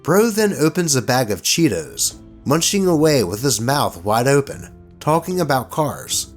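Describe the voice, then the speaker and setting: A man narrating stories in an expressive, colloquial way, with casual commentary mixed in. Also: mid-range voice, a little slow, faint music behind. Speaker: host reading online tabletop horror stories.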